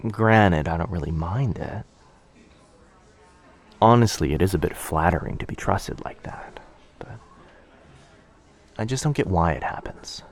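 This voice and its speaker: A man acts out a shy, bookish young man in a boyfriend ASMR audio drama. His voice is low, with a creaky fry at its edges. He speaks softly and breathily, hesitant and gently nervous. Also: animated, measured pace, pauses often, heavily acted. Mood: shy, reflective. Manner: hesitant, soft-spoken, breathy, self-effacing. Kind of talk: intimate boyfriend ASMR roleplay.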